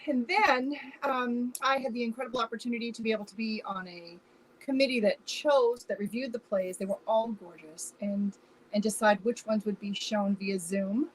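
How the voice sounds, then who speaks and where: A woman's voice: on the high side, normal speed, poor audio. A woman giving effusive, emphatic answers as a guest director in an informal interview. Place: remote video call.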